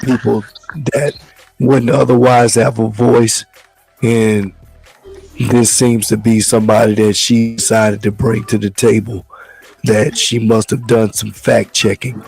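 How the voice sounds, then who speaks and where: A man hosting a casual talk show, hoarse from the flu, speaking in a measured, rambling way. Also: lowish voice, little pitch movement, darkish tone, no room sound, faint music behind, poor audio. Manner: measured, loose, repeats words as he talks. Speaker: show host.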